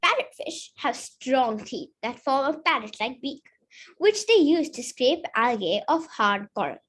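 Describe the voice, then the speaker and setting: High, lively female storybook reader. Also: a little slow, slightly rough. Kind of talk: read-aloud of a children's picture book.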